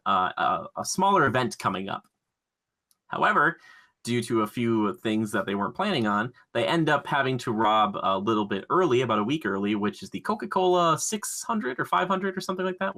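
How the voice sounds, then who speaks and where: A man's voice: medium pitch, pushed and bright. A man co-hosting a podcast, talking casually and conversationally with enthusiasm about films. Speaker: podcast co-host.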